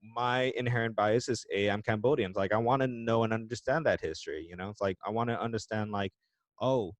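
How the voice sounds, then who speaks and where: Male podcast host, casual and loose, low pitch, dry close recording. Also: fairly quick, dry recording. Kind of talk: casual interview chat.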